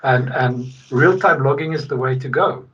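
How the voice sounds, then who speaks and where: A middle-aged man from South Africa, a guest in a casual podcast interview, talking in an animated, emphatic way with frequent restarts. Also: mid-range voice, moderate pace, slightly rough. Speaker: guest, logistics executive. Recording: distant, dull remote-call sound.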